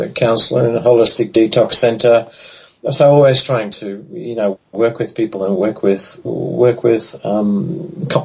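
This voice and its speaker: A man in his fifties, raised in Australia and the Netherlands, telling his background slowly in a remote interview, with many pauses and fillers. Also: lowish voice, vocal fry, a little mellow, slightly rough, poor audio. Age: 50s. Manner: hesitant, many ums and restarts. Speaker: interview guest. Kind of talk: casual recorded interview.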